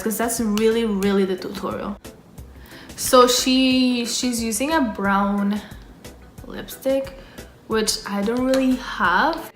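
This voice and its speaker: A woman's voice: on the high side, fairly bright tone. A young woman from the Dominican Republic, a native Spanish speaker, chatting casually through a makeup tutorial and swearing offhand now and then. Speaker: beauty vlogger. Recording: echoey room with background music.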